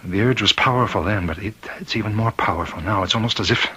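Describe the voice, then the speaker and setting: A low, forceful voice with a husky edge: a tormented prison warden in a vintage radio mystery. He speaks haltingly and repeats himself, an anguished husband afraid that something evil controls him. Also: full character voice. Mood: desperate, menacing. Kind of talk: old-time radio drama acting. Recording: vintage radio drama recording.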